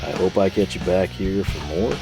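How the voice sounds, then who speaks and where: A man hosting a tool video in his workshop, chatting casually and rambling with fillers, his voice a bit hoarse from a cold. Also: low voice, moderate pace, over music. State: slightly hoarse, losing his voice. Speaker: tool channel host. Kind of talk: casual show-and-tell talk. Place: home workshop.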